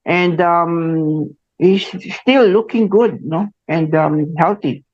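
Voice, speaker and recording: A Filipino man in his forties hosting a radio interview, speaking haltingly with many fillers and a tagged "no?". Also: on the high side, darkish tone, no room sound. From Philippines. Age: 40s. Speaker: radio host. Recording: muffled dry radio studio sound.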